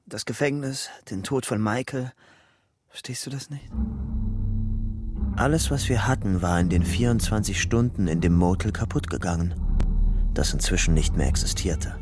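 Man acting a low-voiced weary ex-con over music. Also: lightly acted. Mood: pleading. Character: weary young ex-convict on the run, haunted but stubbornly hopeful. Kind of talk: German crime radio drama, narrating and dialogue. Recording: music bed under the voice.

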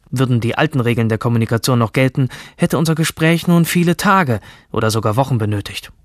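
A man's voice: medium pitch, no room sound, studio quality, natural voice. An actor playing an obsessive, rebellious male hacker from a virtual future world, narrating a radio drama in the first person. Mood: confident. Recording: music under the voice.

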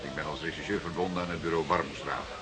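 An actor playing a seasoned, persistent police detective in a radio play, speaking deliberately and with dry formality. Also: male voice, a bass voice, fairly even intonation, over music. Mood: drily menacing. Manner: deliberate, dryly formal, spells out words. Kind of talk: Dutch radio play acting.

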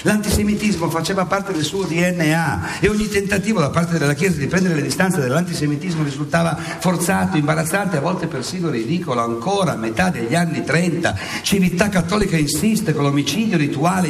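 An actor playing a relentless, sardonic prosecutor in a satirical mock trial, declaiming insistently on stage. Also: male voice, fairly high pitch, a little fast, reverberant, distant mic, slight background noise, close to an everyday voice. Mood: insistent, indignant. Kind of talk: theatrical courtroom monologue in radio theatre.

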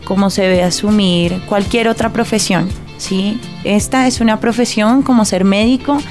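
A woman's voice: mid-range voice, fairly even intonation, normal speed. Woman, emphatic, light music behind. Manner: emphatic, ends points with checking tags. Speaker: arts organization representative. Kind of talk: spontaneous interview talk.

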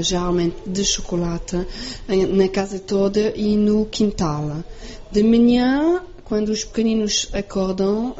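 A woman's voice: mid-range voice, darkish tone, slight background noise. A French woman in a casual interview, explaining things with hesitant fillers in a language that is not her first. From France. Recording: dull, muffled field recording.

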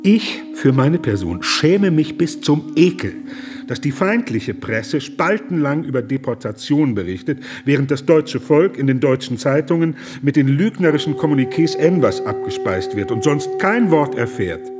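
A man in a radio drama playing an earnest German pastor who argues gravely, formally and with emphatic indignation. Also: fairly high pitch, very animated, normal speed, over music. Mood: ashamed, outraged.

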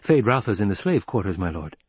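A man's voice: on the low side, big pitch swings, brisk, slightly rough, dry recording. A man voicing a guard captain who sounds dulled by a drug and afraid. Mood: fearful. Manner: strained, dulled and fearful. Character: frightened drugged male guard captain.

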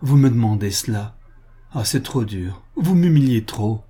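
Male reader as proud wounded lady in love, emphatic, pleading, husky, mellow, dramatic audiobook. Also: mid-range voice, expressive, moderate pace. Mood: wounded, pressing. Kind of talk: dramatic novel reading.

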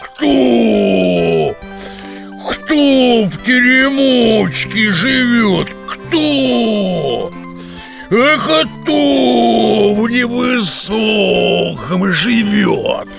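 A man playing a big, clumsy, simple-hearted bear with a growling, drawn-out delivery in a children's audio fairy tale. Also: extremely high pitch, animated, music in the background, heavily acted. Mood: roaring. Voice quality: gravelly, growling. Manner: slow, roaring, drawn-out.

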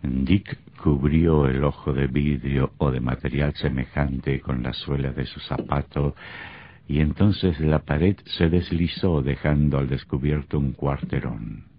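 A man's voice: very deep voice, fairly even intonation, measured pace, dry recording, heavily acted. A male actor narrating a vintage radio horror drama in a grave, ominous, scripted style. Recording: muffled, very noisy old radio recording.

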